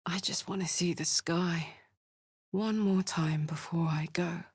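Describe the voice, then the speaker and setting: A woman's voice: lowish voice, steady tone, unhurried, husky, no echo, studio quality. A woman playing a brash, battle-hungry warrior with a big heart in a video game, speaking informally and emphatically. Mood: wistful, hurt. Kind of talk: video game character voice lines.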